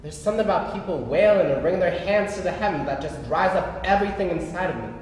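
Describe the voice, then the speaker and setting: A man's voice: high-pitched, expressive, fast. Man acting a dry, exasperated ex-actor, echoing hall. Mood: fed up, emphatic. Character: exasperated, dry-witted former actor settling a funeral. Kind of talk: staged play reading, live. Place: live stage in a reverberant hall. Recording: echoey hall, faint noise.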